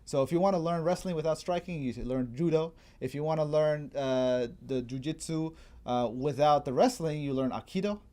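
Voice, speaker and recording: A man in a casual chat, explaining history as a guest in an informal, digressive way, as if thinking out loud. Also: a little high, moderate pace. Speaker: guest martial arts enthusiast explaining history.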